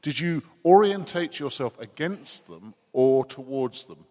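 A man's voice: medium pitch, lively intonation, a little slow, mellow. A man conducting an interview, asking long, winding questions with a dry wit. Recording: long hall reverb.

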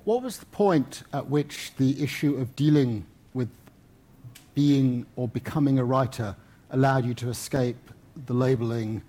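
A man's voice: mid-range voice, mellow. A man interviewing a novelist on stage, asking earnest, probing questions with small restarts. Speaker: interviewer, lawyer. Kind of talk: festival stage conversation.

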